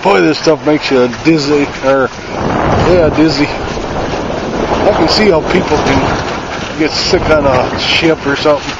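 A folksy man with a fairly high voice narrates casually and slowly outdoors. He rambles in plain colloquial talk as if to himself, over clearly audible wind and water noise. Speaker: amateur vlogger. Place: outdoors on a windy lake pier. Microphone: handheld camera or phone. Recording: muffled outdoor phone recording, loud wind noise.

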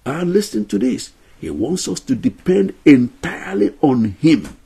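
An older man gives an earnest Bible lesson straight to camera from a small room at home. His voice is husky and gravelly, with a warm, mellow tone, and his pitch swings widely as he stresses each numbered point. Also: big pitch swings, measured pace. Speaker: Bible teacher.

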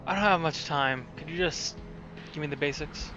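A man's voice: a little high, moderate pace, highs cut off, background noise. A man playing a role-playing game, giving short replies in character. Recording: game music behind the voice.

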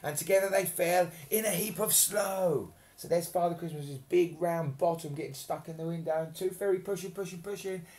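A man's voice: sits high, normal speed, few pauses, darkish tone. A man in his thirties reading a children's picture book aloud expressively, with playful, chatty asides to explain the story. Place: home kitchen, indoors. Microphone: webcam at arm's length.

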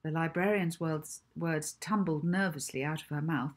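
A woman's voice: low-pitched, steady tone, moderate pace. A woman, narrating a children's novel expressively. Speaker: author reading own children's novel.

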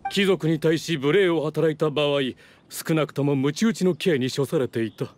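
An actor playing a haughty descendant of fallen aristocrats in a video game, speaking in a pompous, scornful, lordly manner. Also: male voice, a little high, moderate pace, a little mellow, no echo, close to an everyday voice. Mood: scornful, proud. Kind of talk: theatrical video game dialogue.

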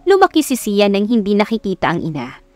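A woman's voice: medium pitch, huge pitch swings, fairly quick, no room sound. A woman with a Filipino accent reading a scripted story as an audiobook narrator, in a dramatic storytelling style. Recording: background music under narration. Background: music.

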